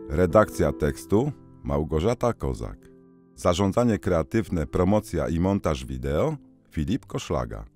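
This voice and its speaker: A man's voice: extremely low pitch, a little mellow. A man reading scripted closing credits for an audiobook as an announcer.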